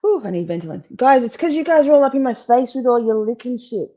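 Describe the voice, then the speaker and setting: A woman's voice: on the high side, big pitch swings, fast talker, dark tone, dull audio. A woman hosting a live stream and commenting on a trial in a rambling, chatty way, a bit wheezy today. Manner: chatty, rambling, self-correcting. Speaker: livestream host commenting on a trial. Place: at home with pets.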